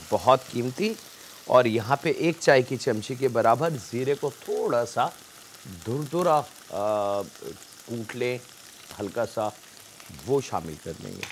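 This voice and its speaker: Male TV cooking host, Pakistani Urdu accent, middle pitch, patient step-by-step explainer, light frying sizzle behind. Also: slight background noise. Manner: addresses viewers politely as janab, explains step by step.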